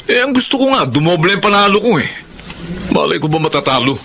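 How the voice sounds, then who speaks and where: A man's voice: sits high, very animated, fast talker, pushed and bright, heavily acted. An actor playing a lazy, boastful young man who gambles, scams and threatens his partner, in a radio drama. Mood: threatening. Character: hot-tempered lazy young man, small-time scammer and gambler. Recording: clear background noise.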